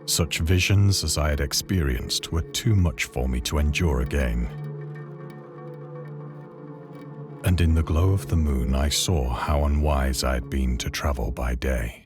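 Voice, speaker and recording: A man playing a haunted castaway, narrating a horror tale in a measured, solemn, literary manner for a game. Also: rumbling low. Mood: uneasy, solemn. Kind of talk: scripted dramatic narration of a horror story. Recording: loud music under the voice. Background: loud music.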